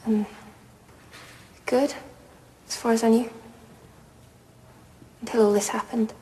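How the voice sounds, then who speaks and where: A woman playing a reluctant teenage schoolgirl witness in a courtroom drama, speaking haltingly. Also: a little high, little pitch movement, warm tone, in a large hall, a little hiss or hum, rough recording. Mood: uneasy. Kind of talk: naturalistic courtroom drama acting.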